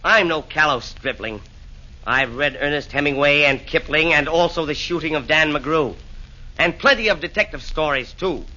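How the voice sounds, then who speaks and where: A man's voice: a little high, normal speed, pushed and bright. An actor playing a boastful, blustering henchman of the devil, declaiming in theatrical verse in a 1940s radio play. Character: boastful rhyming devil's henchman, would-be assassin. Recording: dull lo-fi 1940s radio recording.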